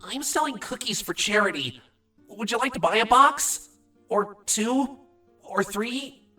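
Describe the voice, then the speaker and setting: A woman voicing an awkward, earnest young male changeling creature with a husky, halting voice in a cartoon fan audio drama, distant from the mic. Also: a little high, animated, pushed and bright, faint music behind, exaggerated voice.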